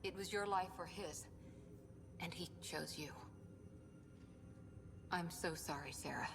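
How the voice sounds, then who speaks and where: A woman's voice: lowish voice, slow, creaky, long reverb tail, background noise. A woman playing a caring, authoritative ship's doctor in a video game cutscene. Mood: gentle, sympathetic.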